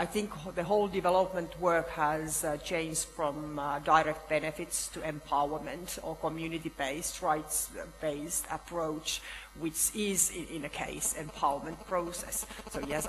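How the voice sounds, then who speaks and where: A middle-aged woman on a panel, answering questions thoughtfully with halting restarts, on a conference hall stage. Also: deep voice. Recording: reverberant hall with faint hum.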